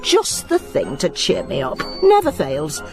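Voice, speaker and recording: A woman's voice: on the low side, unhurried, music in the background, exaggerated voice. Brenda, a chatty landlady. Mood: brooding. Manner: lively, gossipy, laughs easily. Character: chatty, nosy woman guesthouse landlady with a secret past. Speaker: narrator and lead character. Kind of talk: radio drama acting.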